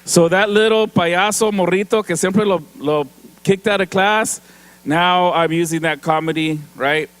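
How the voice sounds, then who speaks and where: A man's voice: sits high, measured pace, a bit bright. A man giving an award speech on stage, chatty and joking, often laughing and slipping into Spanish. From Mexican-American from San Diego. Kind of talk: casual speech with stage humor. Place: event stage before an audience.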